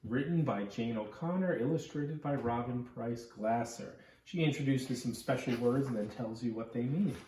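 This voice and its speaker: A man with a warm, mellow voice makes friendly, upbeat school announcements. He sits a bit back from the mic in an echoey room. A faint hiss runs under his voice. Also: mid-range voice, moderate pace, few pauses. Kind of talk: casual morning announcements. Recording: roomy, distant mic, faint noise.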